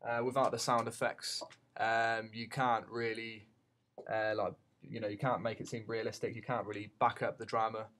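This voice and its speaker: Flat-toned man teaching in a halting way. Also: lowish voice, a little hiss or hum. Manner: halting, thinking aloud. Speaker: media teacher giving a software tutorial. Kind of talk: unscripted tutorial narration.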